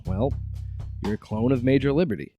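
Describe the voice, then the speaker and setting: A man's voice: mid-range voice, brisk, over loud music, lightly acted. A man playing a weary, sarcastic agency director in an audio drama, with a casual, exasperated manner. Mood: matter-of-fact.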